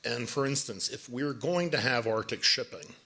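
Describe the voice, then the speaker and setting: A middle-aged man with a forceful, sharp tone argues his case on a conference panel. His voice sits at an ordinary male pitch, and he pushes his points assertively. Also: normal speed. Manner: assertive, argumentative. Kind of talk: panel discussion. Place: conference stage with audience.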